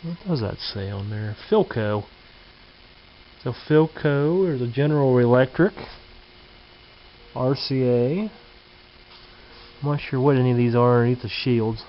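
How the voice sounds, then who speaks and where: A man's voice: medium pitch, measured pace, pauses often. Folksy man in a dull, hissy recording. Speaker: hobbyist presenter. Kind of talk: casual hands-on show-and-tell commentary. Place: indoor home workshop or garage. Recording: dull lo-fi camcorder audio with hiss.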